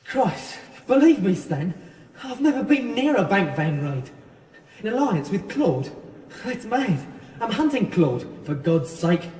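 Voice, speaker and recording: Edgy male criminal, mellow timbre, very lively pitch, excitable protests, radio crime drama. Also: unhurried, recorded from a distance. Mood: pleading, urgent. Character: edgy, defensive male small-time criminal, talking himself up. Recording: echoey, reverberant, off-mic radio drama scene.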